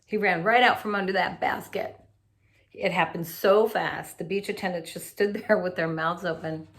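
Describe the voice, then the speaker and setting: A woman reading a children's picture book aloud in an animated, expressive way, adding little asides for young listeners. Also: fairly low pitch, moderate pace.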